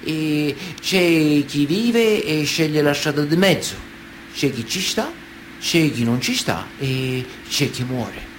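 An actor playing a proud, evasive man of honour in a radio play, with deliberate, sententious delivery. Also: a little high, expressive, noisy background. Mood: sly, matter-of-fact. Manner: deliberate, sententious, with weighty pauses. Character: proud, evasive Sicilian mafioso, a self-styled man of honour. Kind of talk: theatrical monologue in a radio play. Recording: music under the voice. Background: music.

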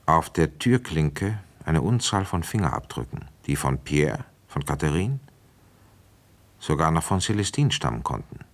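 Very deep-voiced, musing police inspector. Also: male voice, very animated, measured pace, exaggerated voice. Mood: matter-of-fact. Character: methodical male police inspector, musing and dutiful. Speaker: radio drama actor, narrator and lead. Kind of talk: German radio play narration and dialogue.

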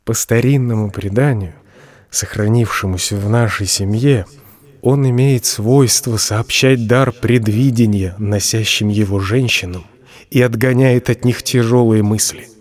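An actor playing a humble, hopelessly devoted poor admirer in an audio drama, speaking a formal letter with reverence. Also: male voice, on the low side, unhurried, a little mellow. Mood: tender, earnest.

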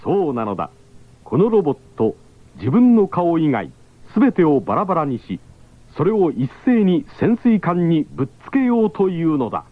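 A man's voice: on the high side, sing-song, moderate pace, no echo. A man narrating a 1970s radio drama with emphatic, exclamatory storytelling. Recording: tinny 1970s radio broadcast.

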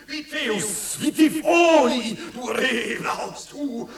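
An actor playing an exalted orator who hails and curses insects in experimental music theatre, declaiming chopped Latin names. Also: male voice, very high voice, slow, creaky, heavily acted. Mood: agitated, scolding. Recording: echoey room, distant from microphone.

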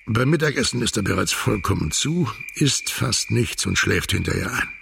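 With crickets and noise behind him, a commanding middle-aged businessman, a worn-out father in a radio crime play. His low voice crackles with heavy fry as he speaks in formal, blunt, controlled sentences. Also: expressive, moderate pace. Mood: bitter, controlled. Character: stern, commanding widowed businessman, exhausted father of a drinker. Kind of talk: German radio crime drama acting.

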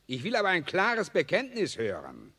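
Casting: grausamer, spöttischer SS-Offizier, heller rauer Ton, sehr bewegte Stimme, Hörspiel der 60er mit Nachhall. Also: male voice, high voice, huge pitch swings, moderate pace, bright, forceful tone, slightly rough, heavily acted. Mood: sneering. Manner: sneering, taunting, barking orders. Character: sadistic mocking male SS officer. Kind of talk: 1960s German radio play acting. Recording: reverberant old mono radio play.

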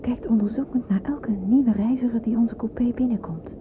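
Through a tinny, noisy old radio recording comes a young woman played by an actress, anxious and wary. She speaks in a hushed, deliberate radio-drama manner over a train-like rumble, as someone afraid of where she is being taken. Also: natural voice. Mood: anxious, brooding. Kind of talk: radio play acting with hushed inner monologue.

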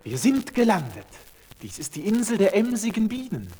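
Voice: medium pitch, huge pitch swings, normal speed, dark tone, slight background noise. An actor playing a noble, kindly falcon, declaiming solemnly in a children's radio play. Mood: solemn, caring.

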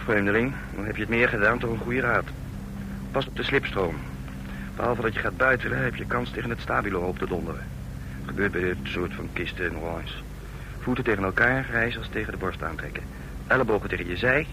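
An actor playing a sardonic, commanding gang man and jumpmaster, curt and brusque, in a radio drama. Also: lightly acted. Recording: noisy old radio recording with engine rumble.

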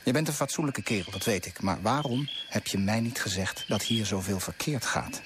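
A man's voice: fairly low pitch, moderate pace, background noise, lightly acted. A man acting a righteous, idealistic colonial official in a radio drama, speaking in an earnest, insistent, declamatory way. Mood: reproachful, indignant. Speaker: actor in a radio play.

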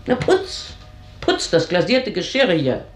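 An actress playing an earthy, bitter older housekeeper in a German radio drama, grumbling with theatrical scorn. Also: female voice, on the low side, huge pitch swings, slow pace, full character voice. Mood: scornful. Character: bitter, earthy older female housekeeper, gossiping and cursing her mistress. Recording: roomy, echoing radio drama studio sound.

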